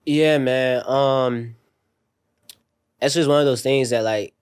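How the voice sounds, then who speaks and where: A man's voice: medium pitch, fairly even intonation, slow, smooth. A young man telling stories on a podcast in a laid-back, slangy way, with many fillers. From raised overseas in a military family. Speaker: podcast guest. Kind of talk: casual podcast conversation.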